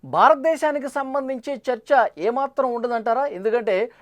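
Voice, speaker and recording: A woman speaks as a news anchor in a fairly high voice. She lays out context and poses questions in a news discussion. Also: normal speed.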